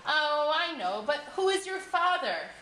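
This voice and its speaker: A woman's voice: high-pitched, big pitch swings, a little slow, bright, forceful tone, voice pushed up, full character voice. A woman voicing a curious, uneasy king in a storybook tale, questioning insistently. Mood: probing. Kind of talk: storybook character voice. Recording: echoey room with clear background noise.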